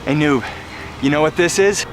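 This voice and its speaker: An actor playing a rugged post-apocalyptic wanderer who tells boastful tall tales in a folksy, emphatic way, in a film drama. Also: male voice, fairly high pitch, moderate pace, background noise, lightly acted. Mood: knowing. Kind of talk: film dialogue, campfire storytelling.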